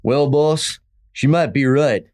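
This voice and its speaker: A man's voice: medium pitch, slow pace, darkish tone, close to an everyday voice. A man plays a ranch hand in a radio Western, with a mid-range voice. He sounds folksy and American, loyal to his boss and wary of strangers. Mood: wary, obedient. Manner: folksy, plain-spoken. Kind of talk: old-time Western radio drama acting.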